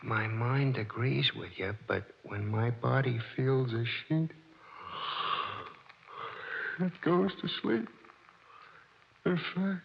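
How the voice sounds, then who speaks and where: An actor playing a dim-witted, sleepy comic sidekick in a 1940s radio drama, with a slow, drawling delivery. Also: male voice, medium pitch, gravelly. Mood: drowsy. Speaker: detective's sidekick. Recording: muffled 1940s radio broadcast with faint hiss.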